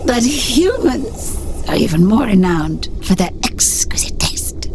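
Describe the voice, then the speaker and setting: A woman voicing a sinister, imperious alien insect in an audiobook, with slow, grandiose, gloating delivery. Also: mid-range voice, sing-song, noisy background. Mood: relishing.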